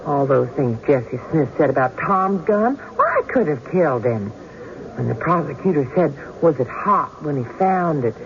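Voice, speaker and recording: A woman's voice: low-pitched, sing-song, normal speed. An actress playing an anxious, indignant frontier woman with a folksy, fretful manner in a radio drama. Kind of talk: 1970s radio drama acting. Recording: muffled, noisy old radio broadcast.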